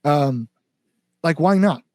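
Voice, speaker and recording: A man's voice: fairly high pitch, expressive, slow, dry recording, studio quality. A man chatting casually as an interview guest, a musician talking enthusiastically in loose, conversational phrases. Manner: enthusiastic, frequent "like" and "you know". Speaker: guest musician, band singer.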